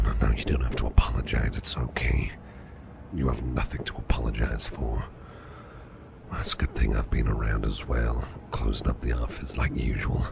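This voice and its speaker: A man playing a kindly, protective businessman boss in an ASMR roleplay, speaking in a gentle, reassuring, gravelly murmur. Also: heavily acted. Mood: worried, soothing. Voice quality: very deep, gravelly, breathy murmur. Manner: gentle, reassuring, softly spoken. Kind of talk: ASMR personal attention roleplay. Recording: clear background noise and ambience.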